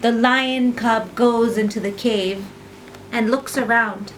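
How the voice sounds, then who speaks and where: A woman's voice: a little high, steady tone, slow, distant mic, a little hiss or hum, poor audio. A woman narrating a bedtime story for small children, repeating phrases for effect.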